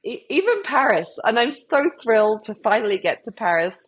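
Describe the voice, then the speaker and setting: A woman's voice: on the high side, very animated, a little fast, breathy edge. A woman with a British accent, answering questions conversationally as an interview guest. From United Kingdom. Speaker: club founder, interview guest.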